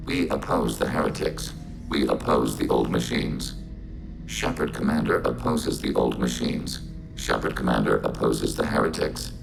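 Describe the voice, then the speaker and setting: An emotionless machine intelligence from a video game. The voice is extremely low and full of gravelly creak. It states things in calm, literal, clipped phrases, with music playing behind it. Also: male voice, normal speed, full character voice, poor audio. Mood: detached, factual. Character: collective-minded humanoid robot, calm, literal, emotionless. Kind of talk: scripted video game acting.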